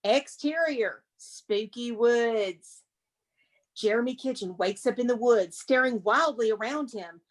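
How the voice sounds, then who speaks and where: A woman's voice: a little high, takes it slowly. A woman presenting as a museum curator and reading a script, with theatrical, emphatic, dramatic narration.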